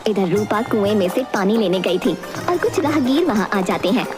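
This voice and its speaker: A woman's voice: mid-range voice, lively intonation, normal speed. A woman narrates a scripted moral tale in storybook style. She is the narrator of a cartoon, with prominent music playing under her voice.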